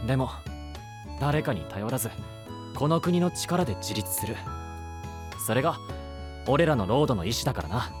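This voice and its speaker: Man acting a brash young knight with animated delivery. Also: mid-range voice, normal speed, pauses often, over music, close to an everyday voice. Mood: resolute. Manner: rough slangy speech, easily flustered. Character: brash, flustered, tough-talking young male knight, loyal and exasperated. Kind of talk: Japanese anime-style voice drama acting.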